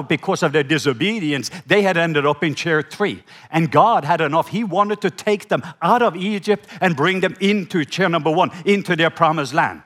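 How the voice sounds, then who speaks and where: A middle-aged man from Norway with a Norwegian accent, preaching a sermon. He slows down to read scripture and has the congregation repeat key words back. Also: on the high side, a bit bright. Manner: slows down when reading scripture, prompts the audience to repeat words. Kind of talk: sermon with scripture reading and call-and-response.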